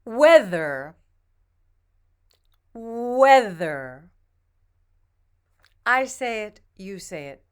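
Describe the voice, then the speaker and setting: Female pronunciation coach, very slow and patient, smooth clear voice, slightly low. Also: very animated. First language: English. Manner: models each word twice, patient and encouraging. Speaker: pronunciation teacher. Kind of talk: slow, deliberate pronunciation drill.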